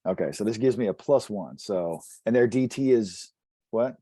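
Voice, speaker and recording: A man's voice: lowish voice, animated, moderate pace, dark tone, slightly rough, no room sound, studio quality. A man who is a player in a tabletop role-playing game, chatting casually at the table as he works through his turn.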